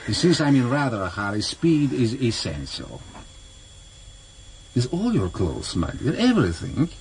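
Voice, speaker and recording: An actor playing a cultured, mockingly courteous older foreign interrogator, formal and deliberate, in a vintage radio drama. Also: male voice, mid-range voice, sing-song, darkish tone. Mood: menacing, polite. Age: middle-aged or older. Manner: formal, deliberate, mock-polite. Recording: slightly noisy vintage radio recording.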